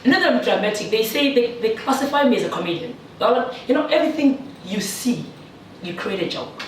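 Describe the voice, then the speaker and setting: A woman from South Africa, a teacher, chatting casually in an interview with a lively manner and frequent laughs. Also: fairly high pitch, fairly quick. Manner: lively, laughs often, animated when joking. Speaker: guest, teacher in China. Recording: echoey room, distant mic, faint noise.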